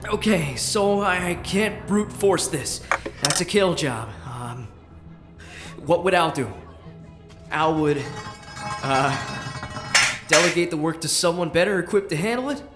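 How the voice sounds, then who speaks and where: A man in a fandub playing an anxious, protective man who mutters haltingly as he talks himself through his worries. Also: a little high, roomy sound, lightly acted. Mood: anxious reasoning. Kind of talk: fandub voice acting, dramatic monologue. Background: music.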